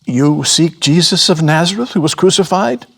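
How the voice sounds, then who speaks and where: A man playing a joyful young male messenger, excited and reassuring in a dramatic scripture reading. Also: on the high side, normal speed, creaky, pitched above the performer's own voice. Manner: excited, urgent, emphatic.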